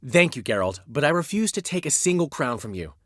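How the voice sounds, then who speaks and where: An actor playing a vain, witty male bard in a video game, speaking theatrically with sweeping swings in pitch. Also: sing-song, brisk, a bit bright, no echo, clean studio sound. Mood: grateful. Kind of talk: game voice acting.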